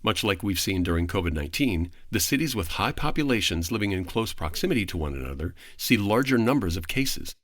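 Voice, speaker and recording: A man speaking as a podcast guest, giving a prepared, articulate explanation in formal, measured phrasing. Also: on the low side, sing-song, fairly bright tone.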